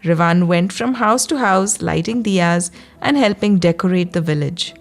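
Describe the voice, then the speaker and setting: A woman narrating a scripted bedtime story for young children, guiding them in a gentle, soothing manner. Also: on the low side, creaky, soft background music. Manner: slow, soothing guidance addressed to young children. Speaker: children's storyteller and yoga guide.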